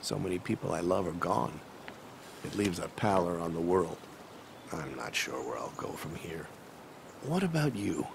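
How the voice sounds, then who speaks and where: Gravelly, low old newspaperman. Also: sing-song, normal speed, noisy background, full character voice. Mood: mournful, reflective. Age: older. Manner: wistful, slightly literary phrasing. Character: kindly, melancholy older newspaperman, reflective mentor. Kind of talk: video game cinematic dialogue.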